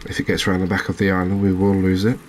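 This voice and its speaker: A man with a low voice and very level intonation gives soft ASMR gameplay commentary. He sounds gentle and unhurried. Faint background ambience sits under his voice. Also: completely flat delivery, a little hiss or hum. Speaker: video game streamer.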